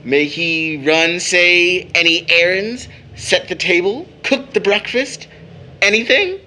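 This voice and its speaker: High-voiced, bantering young brother. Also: male voice, slow pace, sharp tone, slight background noise, full character voice. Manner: playful, florid mock formality. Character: bantering, cheerful older brother, mock-grandiose young man. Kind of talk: audio drama acting.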